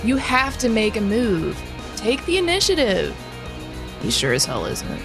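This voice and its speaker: An actress playing a bold, coaxing young woman out partying in an audio drama, with expressive delivery. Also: medium pitch, a little slow, vocal fry, over music, exaggerated voice. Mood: exasperated, coaxing.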